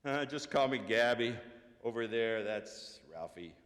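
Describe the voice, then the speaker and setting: A man's voice: medium pitch, animated, a little slow, fairly bright tone. A man reading a story aloud, voicing a chatty, folksy middle-aged woman working as a store clerk. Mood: friendly. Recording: long church reverb.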